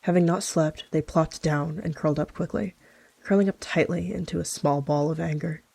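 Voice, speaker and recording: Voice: mid-range voice, steady tone, normal speed, creaky, darkish tone, husky. A roleplayer narrating a story for a game in the third person.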